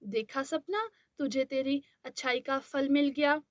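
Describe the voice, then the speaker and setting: A woman voices a loving mother in a cartoon tale, calming her crying daughter. She talks gently, slow and very high. The sound is dry. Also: no echo. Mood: soothing. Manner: soothing.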